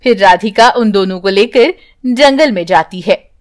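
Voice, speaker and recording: A woman's voice: medium pitch, unhurried. A woman narrating a scripted children's story as the storyteller.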